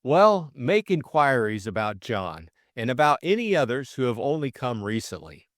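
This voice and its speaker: A man voicing a breezy, reasoning young gentleman sleuth in an audiobook. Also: mid-range voice, very animated, normal speed, no room sound, studio quality, lightly acted. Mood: brisk. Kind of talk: audiobook character dialogue.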